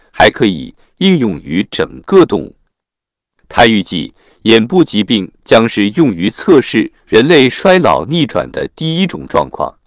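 A man reads scripted material as a narrator, in a formal and measured way. His voice sits on the low side and moves through a lively range of intonation. The sound is dry, with hardly any room on it. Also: no echo. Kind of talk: scripted narration, reading an article aloud.

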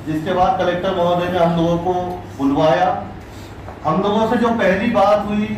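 A middle-aged man speaking as a religious community leader at a meeting, in deliberate, insistent phrases. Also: sits high. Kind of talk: public address at an administrative meeting. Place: crowded indoor meeting room. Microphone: distant camera microphone. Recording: echoey room, distant microphone, faint noise.

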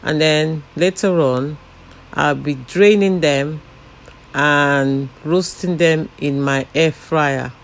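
A man casually talking through a home cooking recipe step by step, relaxed and chatty with frequent fillers. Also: a little high, takes it slowly. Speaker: cooking channel host.

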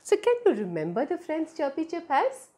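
A middle-aged woman with an Indian accent tells a children's story in a fairly high voice. Her intonation is very animated, rising and falling widely as she addresses her young listeners directly and expressively. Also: normal speed. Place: small indoor room. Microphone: clip-on lapel mic.

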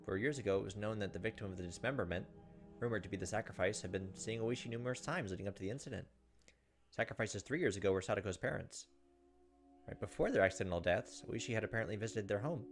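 A man's voice: on the low side, quick pace. A man in his thirties narrating a visual novel aloud as a let's play host. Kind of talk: narrated let's play, dramatic reading with casual asides. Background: soft game music.

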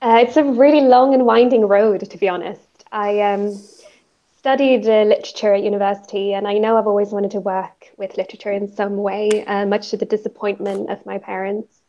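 A woman in her thirties from Sweden speaks thoughtfully on a panel, trailing through fillers and soft hedges. Her voice sits at an everyday middle pitch. The audio comes through a slightly dull video call line from her earphone mic. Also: fairly even intonation, brisk. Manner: reflective, many fillers and hedges. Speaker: panelist, poet and author. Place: plain indoor room. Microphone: wired earphone mic on video call.

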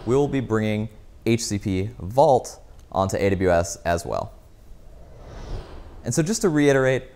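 A man's voice: lowish voice, darkish tone. A man in his thirties presenting a product keynote in an explanatory, emphatic way, recorded on a lapel mic. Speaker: company executive, keynote presenter. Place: indoor office lounge.

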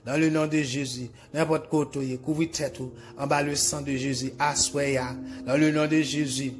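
Haitian man praying, higher-pitched, over music. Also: little pitch movement, a little slow. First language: Haitian Creole. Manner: repetitive, incantatory phrasing. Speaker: preacher. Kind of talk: bedtime deliverance prayer, declarative.

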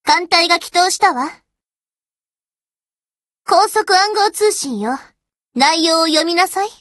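A woman's voice: extremely high pitch, lively intonation, slow, fairly bright tone, no echo, studio quality, heavily acted. A woman voicing a haughty, prickly young warship girl in an anime game, curt and scolding toward her commander. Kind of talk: Japanese anime game character lines.

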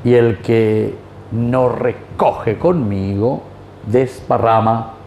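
Mellow Paraguayan priest in a roomy space. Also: male voice, medium pitch, extremely slow. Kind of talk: Gospel reading and homily. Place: small wood-panelled chapel, indoors. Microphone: lapel mic. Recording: roomy echo, faint background noise.

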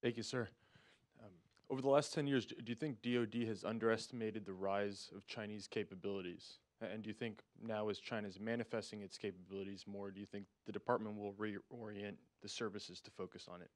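Lowish-voiced man asking from the audience. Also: steady tone, a little fast. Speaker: audience member asking a question. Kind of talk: polite question at a public forum.

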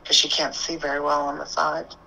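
Low, bright-toned strong-voiced woman. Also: little pitch movement, fairly quick, vocal fry, a little hiss or hum, low-quality recording. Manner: strong, emphatic voice. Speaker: animal communicator guest. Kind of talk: casual conversation.

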